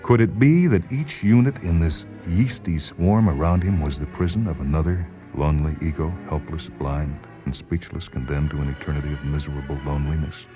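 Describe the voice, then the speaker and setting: A man giving a measured, expressive, dramatic reading of a literary short story. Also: rumbling low, over music. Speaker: narrator, short story reader. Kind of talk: dramatic scripted literary narration.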